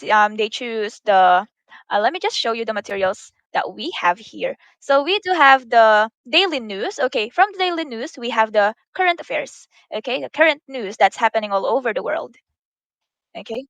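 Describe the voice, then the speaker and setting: Female english tutor, slightly high voice, dry close sound, explanatory, lots of okays. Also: a bit bright, dry recording. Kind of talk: sponsor product demo.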